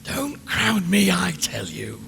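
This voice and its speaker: A radio reporter going mad in a haunted house for a horror audio play. His high voice lurches wildly up and down, dragging into slow, broken mutters with a gravelly creak. Background noise surrounds him. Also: huge pitch swings, bright, forceful tone, exaggerated voice. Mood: unhinged. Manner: ranting, broken, muttering and giggling. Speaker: actor in an audio drama. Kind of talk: audio horror drama acting, live broadcast monologue.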